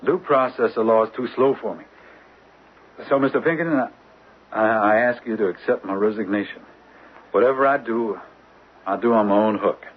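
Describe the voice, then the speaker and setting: An actor playing a dogged, hot-headed, vengeful young detective in a radio drama, speaking bluntly and folksily with small stammers. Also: male voice, fairly low pitch, moderate pace, long pauses, natural voice. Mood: defiant, bitter. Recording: muffled old radio broadcast.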